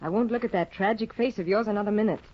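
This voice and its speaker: An actress playing a proud, scheming young wife in a 1950s radio drama, with a husky, commanding, scornful delivery. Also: female voice, mid-range voice, fast talker, close to an everyday voice. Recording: dull lo-fi 1950 radio recording.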